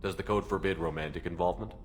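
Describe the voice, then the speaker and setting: A male actor as a steady military commander in a video game, direct and inquisitive. His voice is deep and his delivery quick, with faint background noise. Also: lightly acted. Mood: probing, calm. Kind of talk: video game dialogue acting.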